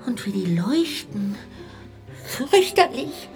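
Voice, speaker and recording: High-voiced, slow-speaking little ghost. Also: female voice, soft background music. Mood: horrified. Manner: expressive, exclaiming, drawn-out lamenting delivery. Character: little ghost, childlike, anxious and dramatic. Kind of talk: children's radio play acting.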